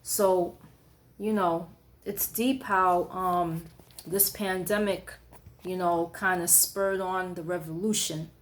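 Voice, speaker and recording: A woman poet giving a thoughtful, conversational late-night monologue, often pausing with "you know" and "um", and reading her own writing aloud with extra force. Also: lowish voice, steady tone.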